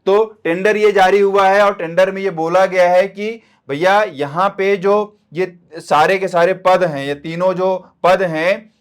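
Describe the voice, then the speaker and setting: A man's voice: high voice, clear, resonant tone. A man teaching as an exam coach, explaining step by step to students and often repeating key points with short check-in tags.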